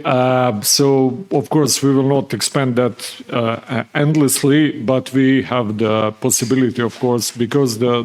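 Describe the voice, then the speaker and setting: Man moderating. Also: mid-range voice. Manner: informal, rambling instructions.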